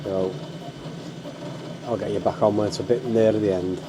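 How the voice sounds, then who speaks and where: A man's voice: on the low side, steady tone, normal speed, very noisy. A man with a cold and a stuffy, bunged-up voice, hosting his hobby channel in a rambling casual how-to with lots of ums and uhs. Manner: rambling, full of ums and uhs, keeps saying guys.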